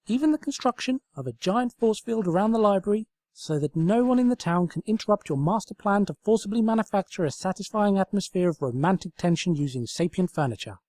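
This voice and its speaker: A man's voice: sits high, expressive, a little fast, hardly any pauses, a little mellow, dry recording, studio quality, voice pushed up. A man voicing a tiny living female fairy lamp with an earnest, approving, wordy manner in a comic story.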